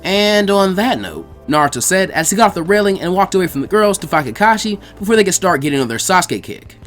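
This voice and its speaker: A man's voice: sits high, animated, fast, fairly bright tone, faint music behind. A man narrating fan fiction as a scripted story reading.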